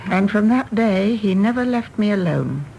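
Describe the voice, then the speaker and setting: An actress playing a weary, self-reproachful middle-aged widow with an English Received Pronunciation accent in a radio drama. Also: female voice, medium pitch, expressive, moderate pace, gravelly, darkish tone, slight background noise, rough recording. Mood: rueful, confiding. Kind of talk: British radio drama acting.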